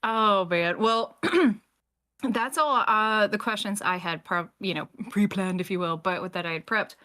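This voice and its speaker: A woman's voice: mid-range voice, a little slow, bright, forceful tone. A woman hosting a panel in a casual, conversational way. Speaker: panel host. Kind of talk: casual chat.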